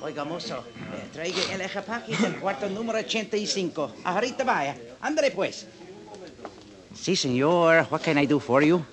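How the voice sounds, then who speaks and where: Smitten Mexican desk clerk, higher-pitched, very lively intonation, flustered, rambling, vintage radio comedy touch. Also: male voice, brisk, crowd noise. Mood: brisk. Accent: Mexican Spanish. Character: lovestruck, absent-minded Mexican hotel desk clerk. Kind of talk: 1950s radio drama acting.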